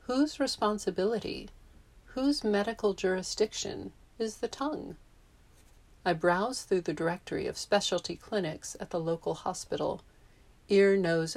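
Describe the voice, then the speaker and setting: A woman in her fifties reads her own essay aloud in a calm, measured way. Her voice sits a little low for a woman. She was born in Japan and grew up in the US. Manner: reflective, measured. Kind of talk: reading a personal essay.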